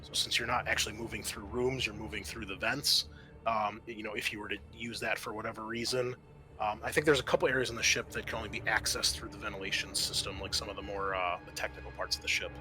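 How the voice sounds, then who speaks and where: A man's voice: fairly low pitch, fairly even intonation, fast, music in the background. A man acting as game master, explaining a scenario and thinking aloud as he recalls the rules.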